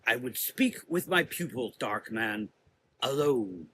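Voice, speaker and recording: A man's voice: mid-range voice, expressive, slow pace, bright, forceful tone, a little hiss or hum. A man voicing a stern, commanding, suspicious woman of about forty who leads a temple in a gothic audio drama, speaking with accusing authority. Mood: scornful, commanding.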